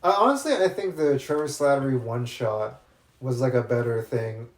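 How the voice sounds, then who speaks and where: A man in an echoey room, chatting casually about movies. He rambles, often restarts his sentences, and gets eager about what he likes. His voice sits at an ordinary middle pitch. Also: moderate pace. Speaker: podcast co-host.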